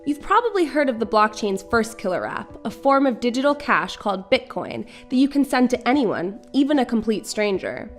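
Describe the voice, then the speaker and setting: A woman's voice: on the high side, very animated, moderate pace, hardly any pauses. A woman reading scripted explainer narration. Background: background music.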